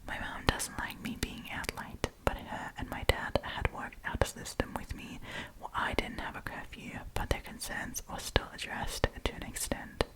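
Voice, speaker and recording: A woman telling stories in a breathy ASMR whisper, with chatty, playful, slangy commentary. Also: moderate pace, poor audio. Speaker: ASMR storytime host.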